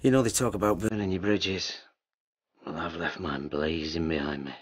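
A man acting in a radio drama as a weary, earnest husband who hesitantly justifies himself, his voice husky. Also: deep voice, steady tone, normal speed.

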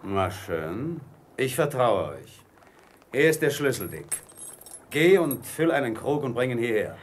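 A man's voice: mid-range voice, big pitch swings, measured pace, husky. A man playing a wily, commanding old pirate ship's cook in a radio play. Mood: scheming.

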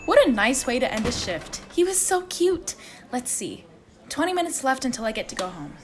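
A woman's voice: high voice, sing-song, normal speed, noisy background. A woman playing a chirpy, sweet and friendly young coffee-shop barista in a radio play. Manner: chirpy, sweet customer service.

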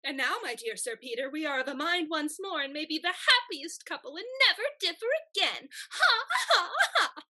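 Bright, high-pitched taunting young society wife. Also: female voice, lively intonation, normal speed, hardly any pauses, heavily acted. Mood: mock sweet. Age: young adult. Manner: mock-sweet, teasing. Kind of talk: theatrical classical stage comedy acting. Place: home room, video call.

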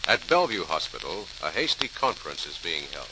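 A male radio announcer narrates formally and dramatically, with a slightly low voice and animated pitch. The vintage recording carries clear hiss. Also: fairly quick. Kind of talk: old-time radio scripted narration. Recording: noisy, hissy old radio recording.

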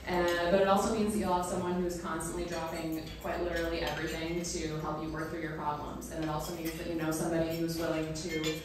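A woman's voice: low-pitched, level tone, quick pace, hardly any pauses. Woman in her thirties toasting in an echoey room. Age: 30s. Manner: chatty, with many ums and likes, laughing between lines. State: emotional, near tears. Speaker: bridesmaid giving a toast. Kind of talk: wedding toast read from a phone. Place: small indoor reception room. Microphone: standing mic, filmed from across the room. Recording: echoey room from a distant camera, noisy crowd.

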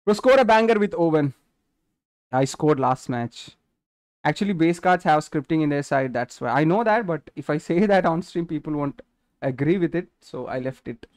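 A man's voice: a little high, lively intonation, moderate pace, a little mellow, no room sound, clean studio sound. A nineteen-year-old man with an Indian English accent, casually chatting with viewers while streaming a football game and reading their messages aloud. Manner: chatty, answers chat questions directly. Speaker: gaming streamer.

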